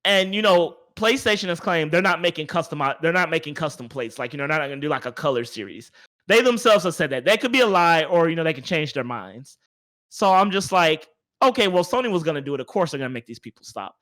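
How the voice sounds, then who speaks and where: High-voiced man hosting a casual podcast. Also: animated, fast talker, fairly bright tone. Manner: emphatic, repeats words and phrases for emphasis. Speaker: podcast host. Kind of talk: casual opinionated chat.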